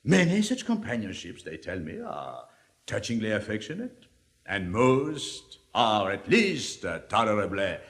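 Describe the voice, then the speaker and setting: Suave cynical libertine, lively swinging intonation, declamatory 1950s stage drama, long hall reverb. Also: male voice, on the low side, heavily acted. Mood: sardonic, measured. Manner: rhetorical, deliberate, declamatory. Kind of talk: 1950s theatrical dramatic reading.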